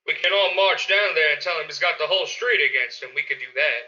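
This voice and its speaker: Radio play: belligerent neighbor for a male actor, confrontational, high-pitched and piercingly forceful, on muffled lo-fi sound. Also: quick pace. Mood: agitated. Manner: aggressive, confrontational. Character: belligerent, hot-headed, bigoted male neighbor. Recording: dull lo-fi audio.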